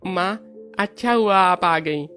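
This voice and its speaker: A woman's voice: lowish voice, slow pace, a bit bright, soft background music. Sweet eager schoolboy voiced by a woman. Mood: pleading. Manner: eager, affectionate. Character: sweet, caring schoolboy visiting his sick friend.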